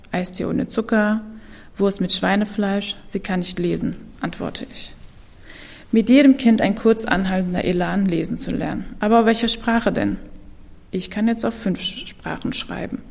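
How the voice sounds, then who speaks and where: A young woman whose first language is Kurdish performs spoken-word poetry, slow and deliberate, often dropping to a whisper. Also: medium pitch, vocal fry, a little mellow. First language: Kurdish (Kurmanji). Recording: reverberant hall.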